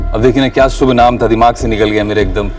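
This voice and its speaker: A man's voice: lowish voice, steady tone, fast talker, darkish tone, far from the mic, lightly acted. An actor playing a polite, casually probing man in a TV crime drama. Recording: off-mic with background music.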